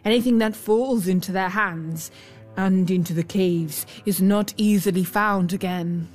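A woman voicing a gentle, solemn male bear in a fairy tale, speaking gravely and deliberately. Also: mid-range voice, faint music behind, natural voice. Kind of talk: storybook character voice.

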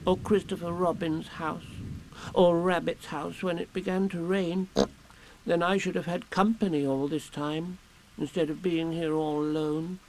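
A tiny, anxious storybook piglet, pitched well up with a creaky edge. The little creature muses hesitantly and wistfully, sounding lonely and fretful as it thinks things over. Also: male voice, little pitch movement, measured pace, a little mellow, a little hiss or hum, low-quality recording. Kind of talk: children's storybook character voice.

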